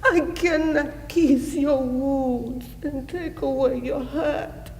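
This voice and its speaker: A devoted, sorrowing mother played by a woman in a solemn stage monologue. She speaks extremely slowly and haltingly, in a soft mellow tone full of feeling. A faint rumble sits behind the voice. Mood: anguished, tearful. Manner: solemn, halting, breathy pauses, intensely emotional. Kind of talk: slow dramatic stage monologue.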